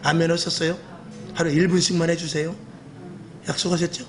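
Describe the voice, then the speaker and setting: A middle-aged male pastor with a rough, earthy voice gives a lively evening sermon, acting out quoted speech with self-mocking humor. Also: on the high side, fairly quick, soft background music.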